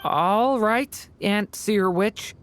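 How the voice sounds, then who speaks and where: A woman voicing a gentle, polite and hesitant young boy in a video game. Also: medium pitch, extremely slow, a little hiss or hum. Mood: shy, unsure. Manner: polite, tentative.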